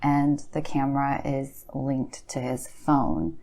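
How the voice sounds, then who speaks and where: A woman's voice: very deep voice, level tone, takes it slowly, dark tone, exaggerated voice. A woman playing a blunt, casual creepy-story teller, offhand and slangy in her banter. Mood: offhand, spooky. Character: Bee, blunt, slacker-casual woman trading creepy stories. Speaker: podcast co-host.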